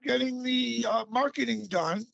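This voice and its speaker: A middle-aged man with a raspy voice, a guest author talking casually in an interview, halting often with fillers. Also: very high-pitched, fairly bright tone, no echo, highs cut off. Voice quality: raspy, gravelly.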